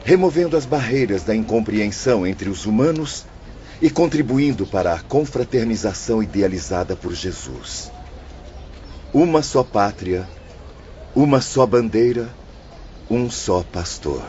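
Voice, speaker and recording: Learned male spirit mentor, played by an actor, solemn, didactic, expressive, slightly muffled audio-drama sound. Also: on the low side, a little slow. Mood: solemn, instructive. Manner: formal, didactic. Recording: dull lo-fi audio, faint noisy ambience.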